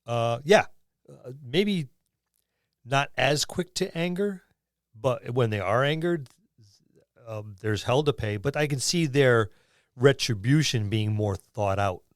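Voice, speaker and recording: A man co-hosting a podcast, talking informally and haltingly as he thinks aloud about tabletop gaming. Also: mid-range voice, lively intonation, dry recording, studio quality. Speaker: podcast co-host. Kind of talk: casual tabletop gaming discussion.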